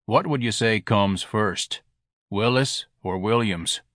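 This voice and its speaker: A man voicing a dim, casual male office clerk who talks in a drawn-out way, in an audiobook. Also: fairly low pitch, a bit bright, no echo, clean studio sound. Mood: puzzled.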